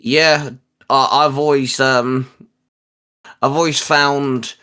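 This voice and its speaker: A man hosting a casual geek chat in a halting, repetitive way, often stumbling over the starts of words. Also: medium pitch, fairly even intonation, bright, forceful tone, smooth. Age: adult, a parent. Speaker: host.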